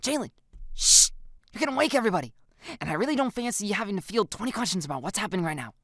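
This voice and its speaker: A woman voicing an exasperated, sarcastic teenage girl in an audio story, stern and quippy but soft-hearted underneath. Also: mid-range voice, big pitch swings, racing, no echo, clean studio sound, voice pushed up. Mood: urgent, bossy.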